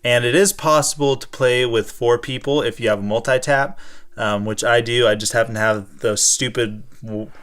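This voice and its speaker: A man's voice: medium pitch, fairly even intonation, normal speed, a bit bright. An American man co-hosting a casual gaming show, answering questions in a loose, self-correcting, rambling way. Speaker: co-host of a gaming show and school teacher. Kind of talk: casual unscripted chat, answering viewer questions.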